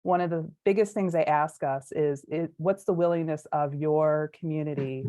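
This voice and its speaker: A woman's voice: low voice, steady tone, normal speed, mellow, no room sound. A woman speaking for a charity board on a video call, earnest, with many uhs and ums. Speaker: foundation board member. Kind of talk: short organization overview on a webinar. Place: her home in the evening. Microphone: computer mic on a video call.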